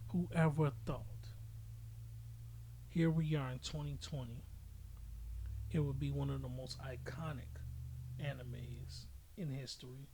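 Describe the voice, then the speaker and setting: A man's voice: mid-range voice, fairly even intonation, slow pace, gravelly, long pauses, warm tone, a little hiss or hum, low-quality recording. A man giving casual, opinionated podcast commentary as a host, speaking bluntly and emphatically with frequent swearing.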